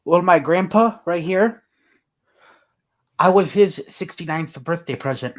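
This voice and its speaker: A man's voice: on the high side, animated, measured pace. A man around thirty chatting on a livestream, working out dates aloud and restarting his sentences. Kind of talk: casual chat.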